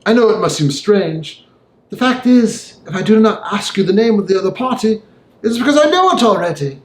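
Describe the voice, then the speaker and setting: A man acts out a grave, courteous lawyer gentleman for an audiobook. The voice is lifted high, formal and reserved, with a probing curiosity. The sound is noticeably roomy. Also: expressive, fairly quick. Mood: earnest, solemn. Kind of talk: audiobook character voice.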